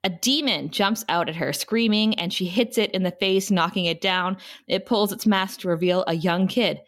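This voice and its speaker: A woman's voice: medium pitch, normal speed. A woman hosting a table read, narrating stage directions and chatting casually. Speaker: podcast host reading stage directions. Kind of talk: script narration with casual asides.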